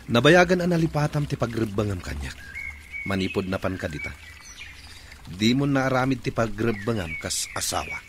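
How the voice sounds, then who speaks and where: A man's voice: lowish voice, very animated, normal speed, noisy background. An actor playing an anguished, quarrelsome young father in a radio drama. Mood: bitter, angry.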